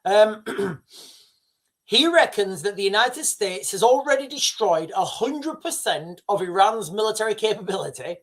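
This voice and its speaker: A man's voice: high voice, a little slow. A middle-aged man hosting a casual news livestream, talking straight to his viewers with many restarts and asides. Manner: excitable, addresses viewers directly, rambling with restarts.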